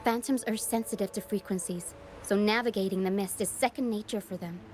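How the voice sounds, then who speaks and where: A woman's voice: mid-range voice, fairly quick, background noise, natural voice. A woman playing a protective, composed young woman guiding others in a video game cutscene. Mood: calm, cautioning. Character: protective young woman guide through dangerous mist. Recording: music behind the voice.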